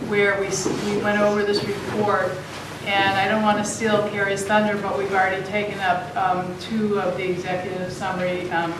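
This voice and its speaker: A woman commission chair giving short, friendly remarks at a public meeting, with some ums. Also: fairly low pitch. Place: large meeting room. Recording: echoey room from far off with noise.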